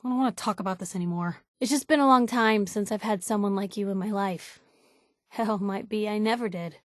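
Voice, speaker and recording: An actress playing a brash, idealistic young woman reporter in a video game, with a conversational, sardonic and expressive delivery. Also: mid-range voice, no room sound, clean studio sound, close to an everyday voice. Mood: frustrated, then warm, sincere. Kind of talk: video game companion dialogue, naturalistic acting.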